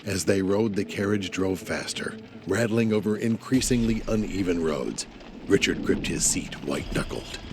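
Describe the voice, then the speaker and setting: A man's voice: low-pitched, moderate pace, background noise. A man narrating a scripted audio drama in a dramatic storytelling style. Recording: music bed behind the voice. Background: music.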